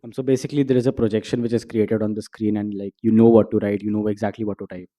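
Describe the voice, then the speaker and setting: A man's voice: fairly low pitch, flat delivery, fast, dark tone. A man asking an author questions deferentially. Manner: deferential, addresses her as ma'am.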